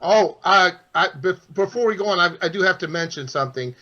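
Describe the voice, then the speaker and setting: Bright-voiced male host on a lo-fi call. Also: high voice, lively intonation, a little fast. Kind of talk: casual video call chat. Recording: dull lo-fi call audio.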